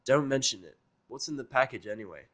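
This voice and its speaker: A man playing a gruff, cynical, reluctant helper, speaking curtly and dryly in a fan audio drama. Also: mid-range voice, expressive, fast, fairly bright tone, voice pushed up. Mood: curt, cold. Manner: curt, dry, grunting.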